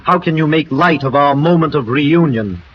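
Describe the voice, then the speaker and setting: An actor playing a suave, flattering celebrity romancer with florid, ardent delivery in a 1940s radio drama. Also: male voice, on the high side, moderate pace, natural voice. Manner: florid, ardent, smooth-talking. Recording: dull old radio recording with music.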